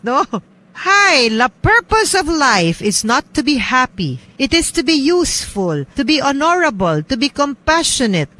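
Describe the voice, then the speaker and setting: A woman's voice: fairly high pitch, fairly bright tone. A woman with a Cebuano Filipino accent hosting a radio advice show, chatting informally and putting questions straight to listeners. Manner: informal, addresses listeners directly with check-in questions. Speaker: radio host and lawyer giving advice.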